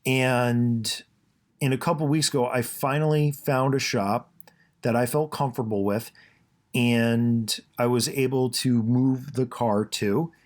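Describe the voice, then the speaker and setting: A man's voice: medium pitch, fairly even intonation, unhurried. A man hosting his own podcast, talking casually and rambling, with a soothing voice and plenty of fillers and restarts. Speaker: podcast host. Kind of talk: casual solo podcast monologue.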